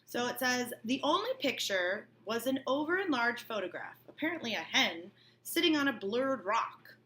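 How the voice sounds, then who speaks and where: A woman's voice: on the high side, big pitch swings, moderate pace, sharp tone, slight background noise. A woman teacher reading a novel aloud and breaking off for informal, colloquial explanations, often checking that listeners follow. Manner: colloquial, checks understanding with okay and right.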